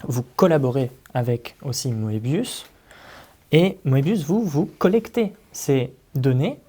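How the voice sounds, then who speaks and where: Male host, mellow dark timbre, panel interview questioning. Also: medium pitch.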